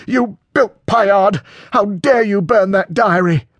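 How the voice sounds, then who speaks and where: A man's voice: fairly high pitch, big pitch swings, measured pace, slightly rough, no room sound, dull audio, pitched above the performer's own voice, exaggerated voice. A man voicing a bitter, hurt, quarrelsome male character in an audiobook, theatrical and cutting. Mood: furious, spiteful. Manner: hysterical, cutting, mock-dramatic.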